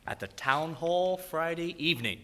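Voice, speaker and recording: A man playing a furtive townsman, speaking in a hushed, secretive way in a live radio drama. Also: a little high, expressive, moderate pace. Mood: conspiratorial. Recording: quiet, distant, long hall reverb.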